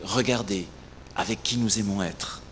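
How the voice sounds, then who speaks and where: A man preaching a sermon as a pastor, emphatic and rhetorical, with deliberate repetition and pauses. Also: fairly low pitch, a bit bright. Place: large reverberant hall, speaking to a congregation. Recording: echoey hall, long reverb, some background noise.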